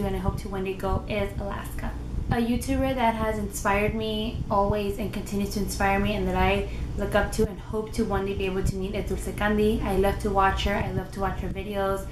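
A young woman with a naturally loud voice, chatting casually to the camera in her living room with lively gestures. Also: medium pitch, a little fast. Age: young adult. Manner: animated, gestures a lot with her hands. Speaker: lifestyle vlogger. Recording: roomy with noticeable background noise.

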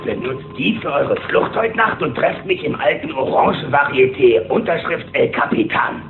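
An actor playing a scheming gangster boss with a creaky voice in a German children's cartoon audio drama. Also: male voice, on the high side, lively intonation, normal speed, echoey room, phone-quality sound, over music.

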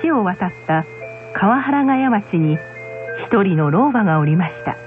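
A woman narrating a folk tale in a measured, scripted storytelling style. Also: mid-range voice, animated. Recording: muffled radio recording, music behind. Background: music.

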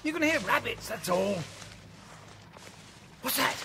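An actor with a British accent playing a distrustful, snappish crook in a children's audio drama. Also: male voice, extremely high pitch, expressive, slow, vocal fry, exaggerated voice. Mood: surly. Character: distrustful, snappish male crook with guard dog. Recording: faintly noisy cassette audio drama.

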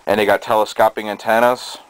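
A man giving a casual show-and-tell in a halting, hesitant way, recorded indoors on a handheld camera's microphone. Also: lowish voice, rough recording. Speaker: hobbyist presenter. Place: small cluttered bedroom indoors. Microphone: handheld camera's built-in microphone. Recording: steady noise, amateur camera sound.

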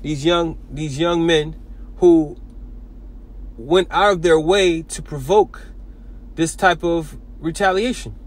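A man giving an informal sermon video, talking in halting bursts with many repeats and restarts. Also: on the high side, steady tone. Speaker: preacher.

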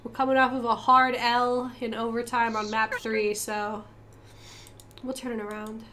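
A young woman with a stuffy, congested nose talks through a team shooter match on stream, chatty and swearing casually as she calls out positions. Her voice sits a little high. Faint hiss sits under the headset sound. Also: flat delivery, measured pace, pushed and bright. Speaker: gaming streamer. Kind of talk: casual gameplay commentary with team callouts.

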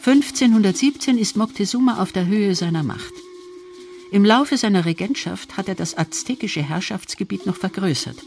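A narrator reading a scripted history documentary in a measured storytelling register. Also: mid-range voice, lively intonation, vocal fry.

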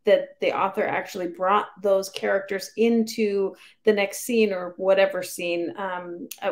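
Woman on a book club video call, medium pitch, understated, kinda hesitant and casual. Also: gravelly. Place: small room at home.

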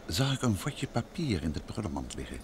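A man acting a calm, inquisitive gentleman detective in an old Dutch radio drama. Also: low-pitched, a little fast. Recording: noisy vintage radio drama recording.

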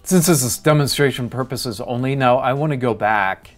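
A man's voice: medium pitch, moderate pace, faint music behind. A middle-aged man hosting a how-to demonstration, explaining each step in a plain, methodical way. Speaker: tutorial host.

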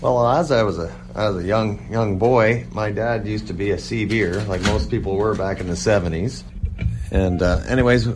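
A man in his forties speaks in a low-pitched voice as a guest on an interview show. He reminisces casually, linking his thoughts loosely and rambling from one to the next. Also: moderate pace, noisy background. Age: 40s. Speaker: interview guest, old-time radio collector.

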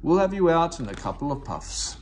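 A man's voice: fairly high pitch, very animated, a little fast, darkish tone, a little hiss or hum, voice pushed up. A man voicing a big, proud male railway engine in a storybook, pompous, grand and hearty. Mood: jolly.

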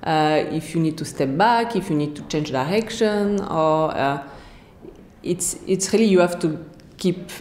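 A woman's voice: fairly low pitch, a little mellow, echoey room, a little hiss or hum. A woman in her thirties from France with a French accent, answering interview questions with many fillers and restarts. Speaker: interviewee, scientist. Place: bright office room indoors.

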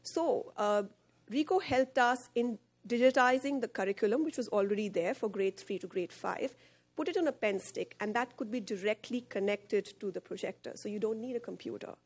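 A woman's voice: fairly high pitch, moderate pace. A woman in her thirties giving a conference panel presentation at a podium, explaining a project with frequent fillers. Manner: explanatory, gestures while speaking, frequent fillers. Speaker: head of partnerships at a charity. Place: conference hall stage at a podium. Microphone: podium microphone.

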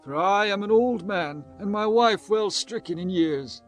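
A man's voice: high voice, a little slow. An actor playing a doubting elderly male priest in a dramatized scripture reading, earnest and questioning. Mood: doubtful. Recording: music behind the voice.

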